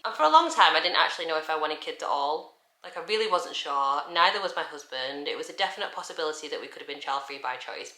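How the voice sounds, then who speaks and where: A woman, chatting candidly in a sit-down vlog. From England. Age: 30s. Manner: chatty and candid, lively shifts in pitch. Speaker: vlogger. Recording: echoey room.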